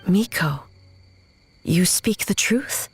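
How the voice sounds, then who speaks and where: A woman's voice: lowish voice, animated, slow pace, husky, exaggerated voice. A woman voicing a stern, regal warrior goddess in a video game, formal, solemn and resolute. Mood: grave, resolute. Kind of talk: scripted video game dialogue. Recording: music under the voice.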